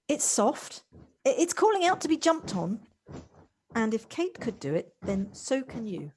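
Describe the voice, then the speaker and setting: A woman's voice: fairly high pitch, very animated, slow pace, long pauses, a little mellow, slight background noise. A woman in an amateur audio drama playing an exuberant, affectionate lady, eager and effusive, often repeating her words. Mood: giddy, coaxing. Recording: home recording on a personal device.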